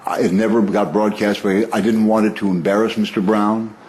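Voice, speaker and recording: An older man speaks in a low voice as an interview guest, measured and hesitant, with pauses and fillers. Faint noise sits under the recording. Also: level tone, a little mellow, rough recording. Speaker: interview guest, veteran radio broadcaster. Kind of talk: casual interview.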